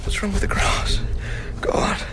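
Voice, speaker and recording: An actor playing a desperate, exhausted British gentleman survivor in a radio drama, muttering in broken, repeated phrases and calling out. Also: measured pace, very noisy, lightly acted. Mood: frantic, pleading.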